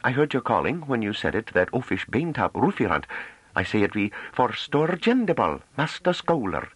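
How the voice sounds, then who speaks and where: A man's voice: mid-range voice, big pitch swings, normal speed, slightly rough, no echo, voice pushed up. A man voicing a young unschooled farm woman who speaks in broken dialect, bashful but fierce. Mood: earnest. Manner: broken dialect speech, earnest and pleading.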